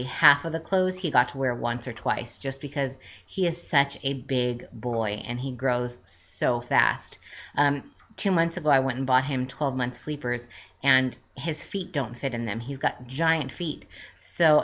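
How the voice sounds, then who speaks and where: A woman's voice: deep voice, a bit bright. A woman in her late twenties giving a casual, rambling update to her viewers, with frequent "um" fillers and self-corrections. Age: 20s. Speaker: vlogger.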